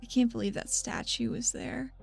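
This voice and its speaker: A woman improvising in-character dialogue as a player in a tabletop role-playing show, halting and confiding. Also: fairly high pitch, fairly even intonation, creaky, breathy edge. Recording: music bed behind the voice.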